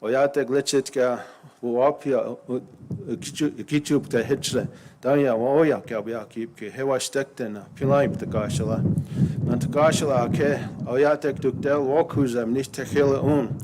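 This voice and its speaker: A man whose first language is Lakota speaks haltingly at a ceremony, with plenty of ums and pauses, then settles into a steady, rhythmic prayer. He has a middle-pitched voice. The live stream carries clear background noise. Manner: hesitant, many fillers, then steady chanting prayer.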